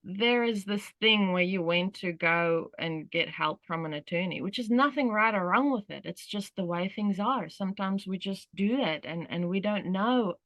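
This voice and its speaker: A South African-born woman who sounds Australian leads a group call, talking casually and wandering from point to point with plenty of "you know". Her voice sits at a middle pitch and is recorded dry and close, with no room around it. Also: moderate pace, hardly any pauses, fairly bright tone, no room sound. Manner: rambling, many "you know" fillers. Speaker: workshop host.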